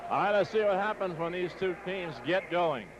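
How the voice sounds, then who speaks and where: A man's voice: high-pitched, moderate pace, muffled recording, heavy background noise. A man calling live basketball play-by-play as a radio sportscaster, in clipped announcer phrasing, on a 1960s AM broadcast. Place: courtside in an exposition hall.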